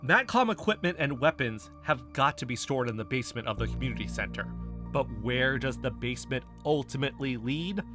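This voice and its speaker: A man's voice: mid-range voice, animated, a little fast, music in the background, lightly acted. A man acting as an insistent, stubborn conspiracy theorist in an audio drama, speaking with emphatic conviction. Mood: fervent, urgent. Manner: emphatic, insistent, building speeches. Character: impassioned male conspiracy theorist, defensive and stubborn. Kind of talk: scripted audio fiction dialogue.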